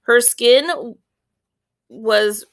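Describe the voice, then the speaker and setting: A woman reading a children's book aloud as an expressive storyteller, with deliberate, clear phrasing. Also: on the high side.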